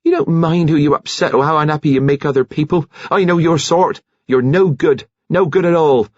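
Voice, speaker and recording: A man's voice: medium pitch, very animated, normal speed, husky, dry recording, dull audio, pitched above the performer's own voice. A man voicing a prim, moralising, indignant woman nurse in a genteel scolding manner, as an audiobook character.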